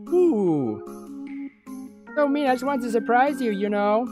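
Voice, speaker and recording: Put-on voice of a whiny, bubbly high-pitched schoolgirl. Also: a little slow, over music. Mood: whiny, disappointed. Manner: whining, excitable, dramatic.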